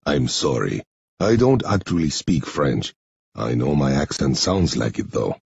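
A man voicing a guarded, serious male guardian with a terse, measured manner in an audiobook. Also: extremely low pitch, expressive, darkish tone, slightly rough, dry recording, muffled recording, pitched below the performer's own voice, heavily acted. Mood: polite.

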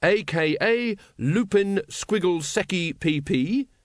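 A man's voice: sits high, expressive, slow pace, no echo, clean studio sound, pitched above the performer's own voice. A man playing an earnest, literal-minded young policeman who recites formally in an audiobook. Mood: earnest, official. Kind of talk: audiobook character acting.

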